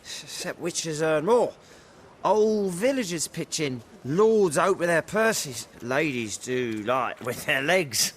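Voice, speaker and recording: An actor playing a drunken butcher begging coins in a video game, coarse, wheedling and hesitant. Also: male voice, fairly high pitch, expressive, slow pace. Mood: wheedling, tipsy. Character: drunken scrounging male butcher, cheeky and pleading.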